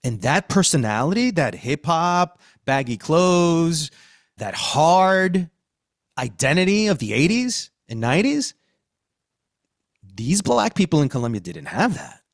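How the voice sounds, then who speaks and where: A man's voice: fairly high pitch, very animated, measured pace, no echo, clean studio sound. A middle-aged man from Queens, New York, hosting a casual podcast discussion with emphatic, slangy talk. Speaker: podcast host.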